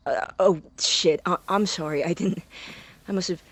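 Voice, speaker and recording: An actor playing an awkward, apologetic young man in an audio drama, with a husky, breathy voice and a hesitant, flustered manner. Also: mid-range voice, fairly even intonation, normal speed, no room sound, natural voice. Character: awkward, apologetic young college-bound man named Victor.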